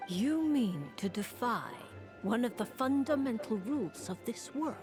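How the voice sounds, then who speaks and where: A woman playing a grand, aloof seer witch in a video game, formal and deliberate in her archaic speech. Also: on the high side, expressive, music in the background. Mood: grand, weary.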